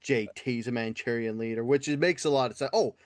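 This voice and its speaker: A middle-aged man co-hosting a casual podcast, talking in an animated, rambling way and swearing freely. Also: mid-range voice, fairly quick, no room sound. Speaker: podcast co-host. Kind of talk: casual profane podcast chat.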